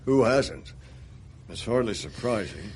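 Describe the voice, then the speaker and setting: Shrewd spy chief, fairly low male voice, knowing and probing, radio drama dialogue, faint ambience. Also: animated, measured pace, darkish tone, a little hiss or hum. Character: Allen Dulles, shrewd, probing senior male spymaster.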